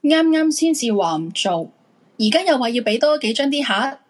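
A woman plays a caring, nagging middle-aged mother in a radio drama. Her voice is fairly high and a little husky, with a Hong Kong Cantonese accent. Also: very animated, moderate pace. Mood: sceptical, nagging. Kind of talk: live radio drama dialogue.

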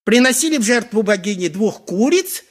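An actor playing a pompous, lecherous high priest in a theatrical radio play, speaking in an oratorical, unctuous way. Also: male voice, animated, moderate pace. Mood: indignant, scheming. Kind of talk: 1980s Soviet radio play acting.

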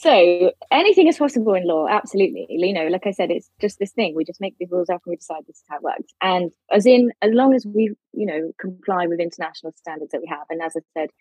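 A woman with a fairly low, mellow voice explains legal points as a guest expert in plain, informal words. Her tone is slightly husky, and the dry recording sounds a little dull. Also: expressive, fast, few pauses, no echo. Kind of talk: explaining law in a casual discussion.

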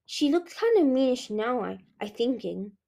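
A woman's voice: sits high, sing-song, normal speed, vocal fry, mellow, heavily acted. A woman voicing a group of chattering baby dragons who talk in small, piping, childish voices and trip over their words. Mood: chatty. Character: chattering little baby dragons, cheeky and curious.

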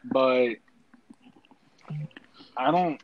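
A man's voice: mid-range voice, very slow, narrow, tinny audio. A young man of Mexican family, talking casually in a rambling way with lots of bro and dude. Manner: rambling, filler-heavy, says bro and dude. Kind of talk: casual chat.

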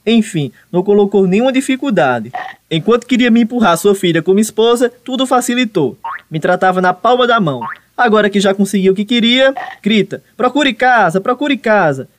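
A man's voice: high voice, lively intonation, fairly quick, no room sound. A man with a Northeastern Brazilian accent playing an insolent, mocking young son-in-law in a comic radio soap, declaiming sarcastically. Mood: indignant.

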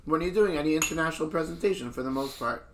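A man's voice: a little high, fast, a bit bright, a little hiss or hum. A man hosting a podcast in a casual, conversational way, explaining his points and repeating phrases for emphasis. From born in a country outside Israel. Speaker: podcast host.

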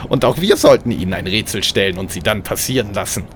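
A man's voice: lowish voice, expressive, fast, a little hiss or hum, lightly acted. A man voicing a villainous, gift-stealing evil twin in a comic audio play, with an affected, declaiming manner. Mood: smug. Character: shrill villainous mirror-universe double, gift-stealing scoundrel.

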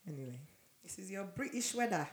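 A woman co-hosting a casual podcast, her voice hoarse and froggy as she loses it, laughing often. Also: mid-range voice, lively intonation, a little fast, reverberant. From Nigeria. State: losing her voice. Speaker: podcast co-host. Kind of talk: casual chat.

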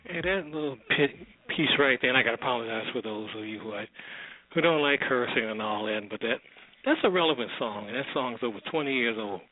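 A man's voice: mid-range voice, fairly quick. A man hosting a radio show, introducing programs in a casual, rambling, informal way. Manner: informal, repeats and circles back. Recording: narrow, lo-fi, dry radio sound.

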